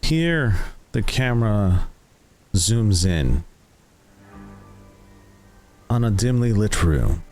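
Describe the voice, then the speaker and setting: A man narrating a tabletop roleplaying game as game master, in a deliberate, suspenseful way with long dramatic pauses. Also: lowish voice, very animated, darkish tone, soft background music.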